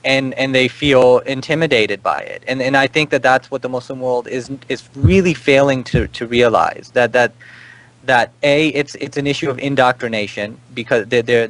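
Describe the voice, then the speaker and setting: A man speaking off the cuff in a panel discussion, halting and often repeating words as he builds his point. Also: mid-range voice, a bit bright, low-quality recording. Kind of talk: panel discussion, unscripted argument.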